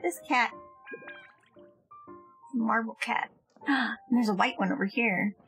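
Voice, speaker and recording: A woman's voice: fairly high pitch, takes it slowly, a bit bright, soft background music. A woman livestreamer chatting casually as she plays a game, on a close mic. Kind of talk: casual game stream chat.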